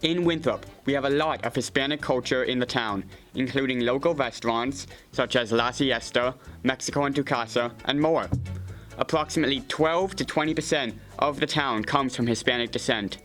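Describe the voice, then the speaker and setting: A teenage boy presenting a segment on a school news show, reading a steady script. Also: medium pitch, normal speed, faint music behind. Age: teens.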